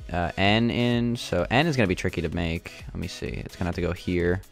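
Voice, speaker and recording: A young man with a slightly raspy, sore throat, thinking aloud with lots of fillers as he builds in a game. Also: low voice, fairly quick, faint music behind. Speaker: gaming channel host. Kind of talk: casual gameplay commentary.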